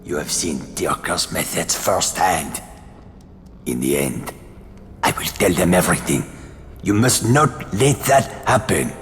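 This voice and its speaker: A man's voice: low-pitched, lively intonation, unhurried, a bit bright, big-room sound, faint music behind. A man playing a stern, stoic resistance leader in a video game cutscene. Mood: grave, resigned.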